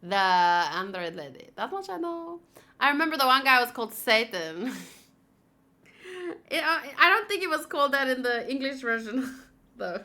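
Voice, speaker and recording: A woman streaming a video game, thinking aloud in a casual, chatty and expressive way. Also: high-pitched. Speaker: gaming streamer.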